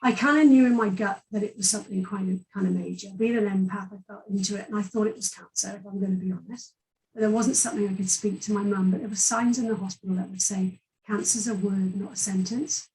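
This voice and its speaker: A woman's voice: mid-range voice, fairly even intonation, quick pace, darkish tone, distant mic, low-quality recording. Chatty Welsh woman, slightly off-mic. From Wales. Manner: open, chatty storytelling with many fillers. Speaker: guest. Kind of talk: personal story in an interview.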